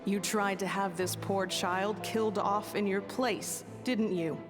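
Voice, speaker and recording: Woman acting stern accuser, medium pitch, slow, measured, video game drama, long reverb, music. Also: fairly even intonation, natural voice. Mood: cool, accusing.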